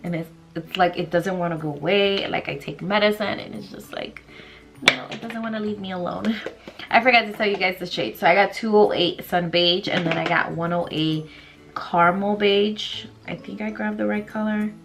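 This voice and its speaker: A woman's voice: mid-range voice, normal speed, gravelly, over music. Stuffy-nosed woman chatting through a makeup tutorial. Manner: chatty and rambling, many likes and fillers. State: stuffy nose from allergies. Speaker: beauty vlogger. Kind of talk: casual makeup tutorial chat. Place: small bedroom vanity indoors.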